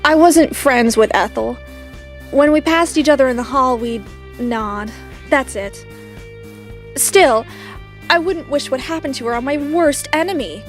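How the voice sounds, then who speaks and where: A woman's voice: high-pitched, a little slow, long pauses, faint music behind. A woman playing a spoiled, haughty rich teenage girl who tells her father about a horrible night, in a dramatic storytelling manner. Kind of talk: fan audio drama narration.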